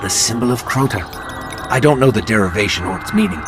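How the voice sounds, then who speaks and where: An actor playing a small, loyal robot companion, anxious and wry, with a quippy, inquisitive way of talking in a video game. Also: male voice, medium pitch, sing-song, fast talker. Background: loud music.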